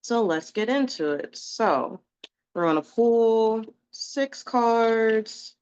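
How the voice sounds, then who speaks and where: A woman's voice: fairly high pitch, expressive, drawn-out words, a little mellow, clear, resonant tone, dull audio. Chatty, sniffly woman reading tarot. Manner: chatty, rambling, reassuring. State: sniffly from allergies. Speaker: tarot reader.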